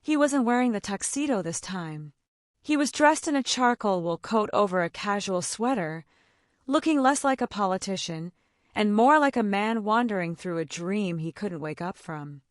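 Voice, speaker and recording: A woman narrating a romance story in an expressive, dramatic storytelling manner. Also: mid-range voice, normal speed, no room sound, studio quality.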